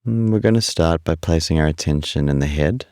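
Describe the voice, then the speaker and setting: A man leading a guided body scan meditation in a calm, unhurried, soothing way, leaving long pauses between instructions. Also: extremely low pitch, clear, resonant tone, no echo, clean studio sound.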